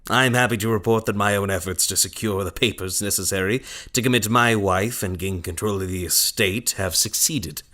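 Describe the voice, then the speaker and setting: Low-voiced, imperious scheming patriarch. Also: male voice, moderate pace, few pauses, bright, forceful tone. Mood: contemptuous. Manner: imperious, scornful, sardonic. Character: cold domineering scheming husband and father. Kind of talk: period melodrama audio acting.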